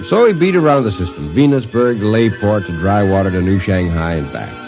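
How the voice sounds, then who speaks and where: A man's voice: lowish voice, sing-song, brisk. An actor playing a world-weary spaceman who tells the story in a radio drama, in a plain, blunt manner. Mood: sober storytelling. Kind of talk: mid-century radio drama narration and dialogue. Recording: vintage radio drama recording, music underneath.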